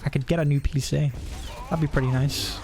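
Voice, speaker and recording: A man's voice: on the high side, steady tone, brisk. A man casually commentating as he streams a video game, with a joking, sarcastic manner.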